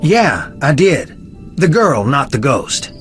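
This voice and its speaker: A man's voice: mid-range voice, sing-song, slow, soft background music. An actor playing a conflicted, defensive young man in a video game, earnest and guarded. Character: conflicted, defensive young fiancé of a missing woman.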